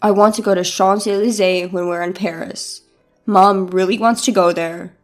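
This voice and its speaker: A woman's voice: mid-range voice, fairly even intonation, normal speed, faint music behind, pitched below the performer's own voice, natural voice. A woman voicing an entitled, oblivious young husband with a nonchalant, matter-of-fact manner in a dramatized story. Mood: innocent, casual.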